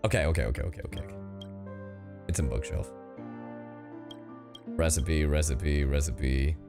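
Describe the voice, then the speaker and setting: A man's voice: a bass voice, fairly even intonation, moderate pace, vocal fry. A man livestreaming a video game, chatting casually in slang and often repeating his words. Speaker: game streamer. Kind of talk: casual livestream chat while playing a game. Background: game music.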